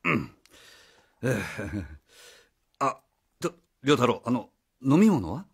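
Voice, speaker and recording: An actor playing a slovenly, shifty father in his forties in a radio drama, casual and husky. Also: mid-range voice, big pitch swings, slow, exaggerated voice. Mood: falsely cheerful. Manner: casual, gruff with son, ingratiating with visitor. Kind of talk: Japanese radio drama acting.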